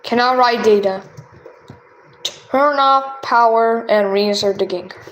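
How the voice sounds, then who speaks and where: European woman, casual game chatter, reads screen text aloud, highish voice, roomy sound with light music. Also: slow pace, slight background noise. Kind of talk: casual chat while playing games.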